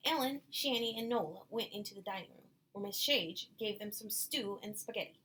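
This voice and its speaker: A woman's voice: mid-range voice, fairly quick, fairly bright tone, poor audio. A woman reading a children's storybook aloud, adding small explanatory asides, with some noise in the background.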